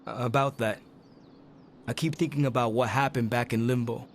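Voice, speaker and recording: An actor playing a stubborn, anxious young hero in a video game, with a defensive, sardonic way of talking. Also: male voice, medium pitch, fairly even intonation, normal speed, a little hiss or hum, close to an everyday voice. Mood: uneasy.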